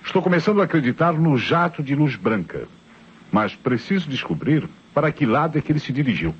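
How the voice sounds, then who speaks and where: A Brazilian actor playing a methodical, skeptical police inspector in an old radio mystery, probing and reasoning aloud with authority. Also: male voice, on the high side, very animated, brisk, slight background noise. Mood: skeptical, firm. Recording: muffled old radio recording.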